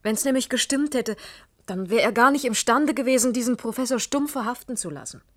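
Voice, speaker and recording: A woman's voice: on the high side, brisk, a bit bright. An actress playing a polite young woman, earnest and confiding, in a radio play. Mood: relieved. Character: concerned, polite young unmarried woman visitor. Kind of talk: German radio play acting.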